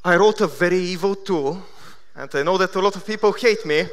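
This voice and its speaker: A man in his thirties from Bulgaria with a Bulgarian accent, giving a conference keynote with self-deprecating deadpan humor. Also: high voice, brisk. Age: 30s. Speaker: conference speaker. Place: large conference hall.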